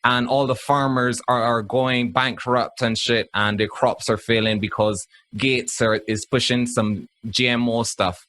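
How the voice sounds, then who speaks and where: A man's voice: mid-range voice, fairly even intonation, unhurried, hardly any pauses, fairly bright tone. A man from Barbados, co-hosting a casual, argumentative podcast in a blunt, slangy way. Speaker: podcast co-host.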